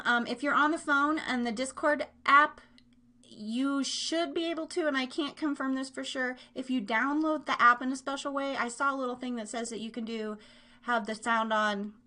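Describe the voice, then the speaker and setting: A woman's voice: sits high, pushed and bright. A woman hosting a casual live chat show, rambling and giggly, with playful self-deprecation and silly exaggeration. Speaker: podcast host.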